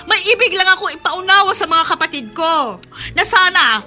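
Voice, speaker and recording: A woman's voice: extremely high pitch, lively intonation, normal speed, pushed and bright, soft background music, heavily acted. A woman with a Filipino accent playing a weary, devoted daughter in a radio drama, with a pleading, long-suffering manner. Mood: tearful pleading. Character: weary, devoted middle-aged daughter caring for her father.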